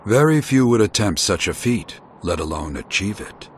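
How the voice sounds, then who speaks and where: Deep-voiced, solemn old warrior. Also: male voice, sing-song, a little hiss or hum. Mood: solemn, probing. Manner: measured, formal, archaic phrasing. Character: weary old male warrior, solemn, wise, regretful. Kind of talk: scripted video game voice acting.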